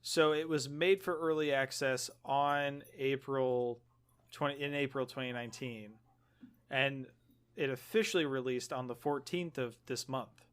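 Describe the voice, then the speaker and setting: A man's voice: mid-range voice, fairly even intonation, unhurried, a bit bright, smooth. A man co-hosting a gaming podcast, chatting casually with a joking, teasing manner. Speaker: podcast co-host.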